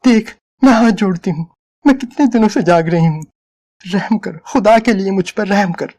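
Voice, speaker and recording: A man's voice: high-pitched, normal speed, darkish tone, pitched above the performer's own voice. A man voicing an exhausted, desperate woman in a dramatic story reading, pleading and stubborn. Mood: begging.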